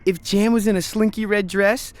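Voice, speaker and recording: An actor playing a cocky, scheming teenage boy in a radio drama, brash, slangy and excitable. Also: high voice, normal speed, dry recording, natural voice. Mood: excited, insistent. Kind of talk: naturalistic radio drama acting.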